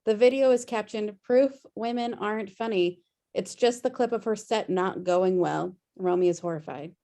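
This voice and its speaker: A woman's voice: mid-range voice, normal speed, mellow. A woman narrating stage directions from a script during a table read on a video call.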